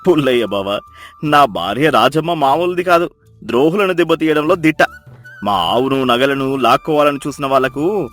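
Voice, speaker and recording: A man voicing a meek, grateful village husband in an animated moral story, earnest and admiring. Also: medium pitch, normal speed, faint music behind, natural voice. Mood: relieved, admiring. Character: meek, grateful village husband praising his clever wife.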